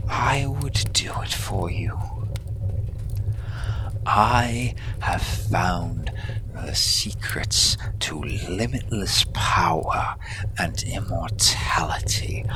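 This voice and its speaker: A performer voicing a centuries-old villain in love, grandiose and devoted, speaking in breathy theatrical declarations for a romantic audio roleplay. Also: medium pitch.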